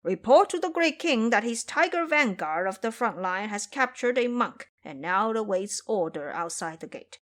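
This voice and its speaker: A woman's voice: mid-range voice, lively intonation, moderate pace, hardly any pauses, natural voice. A woman voicing a male tiger demon officer who reports eagerly and deferentially to his king in an audio story. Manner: deferential, formal report to a superior.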